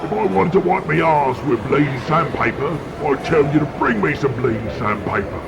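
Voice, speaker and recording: An actor playing a foul-mouthed, abusive British wrestling boss, ranting furiously in a gravelly voice in an audio drama. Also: male voice, a little high, normal speed, lo-fi sound, very noisy.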